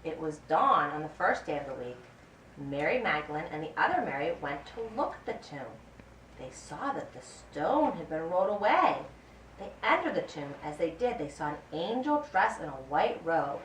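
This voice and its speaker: A woman reading a scripted Bible story as narrator of a puppet show, in a deliberate, expressive way. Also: low voice. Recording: roomy, distant mic, faint background noise.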